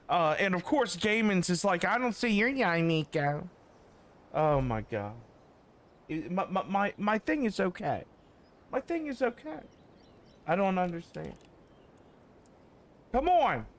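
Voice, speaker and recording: A man's voice: sits high, sing-song, a little slow, pauses often, a bit bright. A man chatting casually as a game streamer, slangy and exclamatory, often repeating himself. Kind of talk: casual live stream chat. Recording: game music behind the voice.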